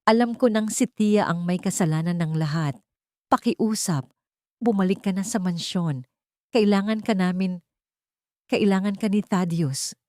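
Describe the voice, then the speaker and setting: A remorseful rich man, voiced by a woman narrator in an audiobook romance. He pleads earnestly in short, broken phrases, a proud figure brought low. The sound is close and dry. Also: a little slow, long pauses, a little mellow, dry recording, lightly acted. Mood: remorseful pleading. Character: remorseful, pleading wealthy man, powerful but humbled.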